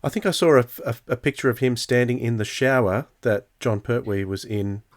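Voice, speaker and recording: A man's voice: mid-range voice, a little fast. A man chatting casually as a podcast host. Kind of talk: casual chat.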